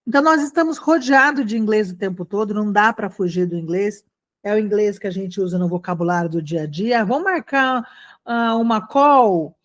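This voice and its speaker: A woman's voice: medium pitch, moderate pace. Brazilian woman teaching, encouraging and chatty. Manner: animated, encouraging, chatty with frequent check-in questions. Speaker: online English teacher. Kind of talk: conversational lesson addressed to students.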